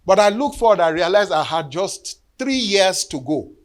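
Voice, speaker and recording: A man's voice: high-pitched, very animated, normal speed. Earnest bishop in his fifties. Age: 50s. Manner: earnest storytelling, sinking to hushed tones. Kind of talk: sermon with personal testimony.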